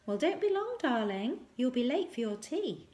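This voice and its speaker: A woman's voice: high voice, very animated, normal speed, a little mellow, voice pushed up. A woman voicing a fussy, firm, doting mother in a children's story, calling out and scolding. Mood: fussing, firm.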